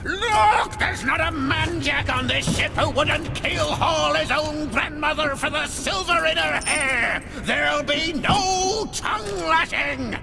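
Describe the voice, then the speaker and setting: A bloodthirsty pirate captain bellows over music in an extremely high, heavily gravelled voice. He is blustering and menacing, a cartoonish villain from a video game. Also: slow pace, few pauses, exaggerated voice. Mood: furious, blustering. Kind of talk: video game character acting. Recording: music behind the voice.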